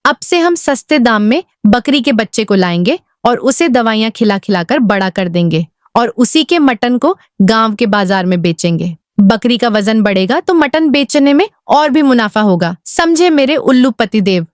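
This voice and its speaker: A woman's voice: on the high side, animated, normal speed, few pauses, no room sound, studio quality, natural voice. A woman playing a greedy, scheming village wife in a cartoon, scolding and commanding with sarcasm. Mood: scheming, bossy. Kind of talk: Hindi cartoon voice acting.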